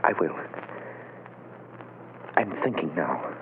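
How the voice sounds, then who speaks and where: Halting, very slow, frightened young man. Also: extremely low pitch, lively intonation, full character voice. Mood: frightened, straining. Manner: halting, effortful. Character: young condemned man, frightened, straining to remember. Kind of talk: 1940s radio drama acting. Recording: noisy, narrow-band old radio broadcast.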